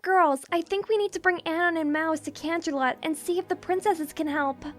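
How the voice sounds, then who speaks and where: A woman's voice: very high voice, normal speed, soft background music. A woman voicing an earnest, studious young female unicorn who speaks politely and thoughtfully.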